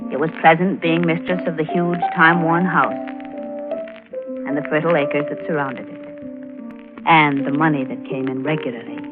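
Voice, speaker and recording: A woman's voice: deep voice, steady tone, normal speed, close to an everyday voice. An actress playing a genteel, anxious married woman in her thirties, in theatrical 1940s radio drama style. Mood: wistful. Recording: old muffled radio recording with music.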